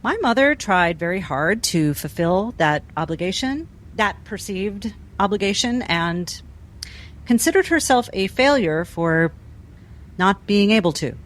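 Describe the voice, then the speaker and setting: A woman doing in-character tabletop role-play drama in a measured, deliberate, restrained way. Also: mid-range voice, lively intonation. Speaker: role-play game player.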